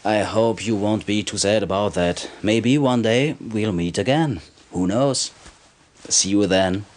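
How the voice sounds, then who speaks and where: A sly underground informant from a video game, acted by a man. His voice sits on the low side. He speaks with smooth insinuation, like a conspirator dealing in military secrets. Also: unhurried, close to an everyday voice. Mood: wry, resigned. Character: sly male underground informant, smooth-talking and self-serving. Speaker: informant character in a game cutscene.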